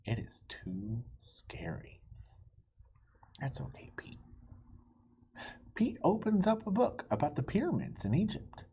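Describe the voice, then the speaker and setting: A woman with a very deep, unhurried voice reads a picture book to young children. Her delivery is expressive and swings widely in melody, and she often speaks straight to the listener. The recording is somewhat dull and lo-fi. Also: breathy edge, in a whisper. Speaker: read-aloud storybook reader and host. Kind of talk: children's picture-book read-aloud with friendly asides. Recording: dull lo-fi sound with faint noise.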